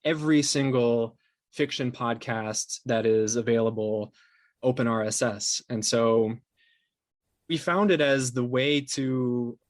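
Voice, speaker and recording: A man's voice: mid-range voice, slow pace, dry recording. Man giving explanatory interview answers, dry sound. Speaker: interview guest, podcast co-founder and sound designer.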